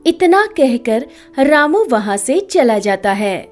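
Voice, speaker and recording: A woman's voice: sits high, very animated, takes it slowly. A woman narrating a scripted children's moral story as the storyteller. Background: background music.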